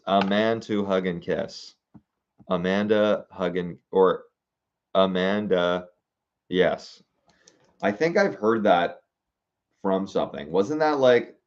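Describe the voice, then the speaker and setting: Young male streamer, low voice, slightly dull lo-fi sound, casual, halting, reading chat and mulling ideas aloud. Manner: musing aloud, reading comments, halting with fillers. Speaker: streamer reading viewer suggestions.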